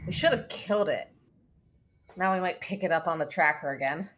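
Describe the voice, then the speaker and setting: A woman playing a gruff, blunt, combative spaceship engineer in a sci-fi script read. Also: fairly low pitch, brisk, a bit bright, lightly acted. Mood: grumbling.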